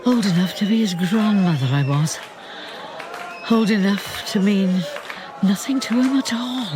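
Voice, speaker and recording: Actress as an ageing Welsh diva, theatrical and unhurried. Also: female voice, mid-range voice, natural voice. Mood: wry. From Wales. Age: older. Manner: theatrical, dramatic, deliberate pauses. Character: ageing camp Welsh singing star, theatrical and proud. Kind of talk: radio play monologue. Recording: music behind the voice.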